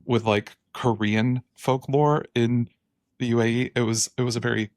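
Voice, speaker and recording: A man's voice: medium pitch, flat delivery, normal speed, a little mellow, no room sound, studio quality. A man hosting a podcast, talking in an easygoing, hesitant way with ums and short laughs. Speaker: podcast host. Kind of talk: casual podcast conversation.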